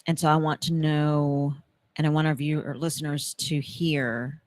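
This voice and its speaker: A woman's voice: deep voice, steady tone, normal speed, darkish tone, dry recording. A woman in her mid-fifties hosting a talk show, speaking earnestly and reflectively about purpose and faith. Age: 50s. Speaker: host.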